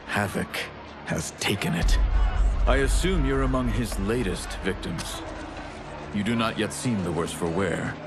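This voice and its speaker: An actor playing a dignified deposed emperor in a video game, speaking in formal, measured phrases. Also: male voice, lowish voice, lively intonation. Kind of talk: cinematic video game acting. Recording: game cutscene with loud music behind.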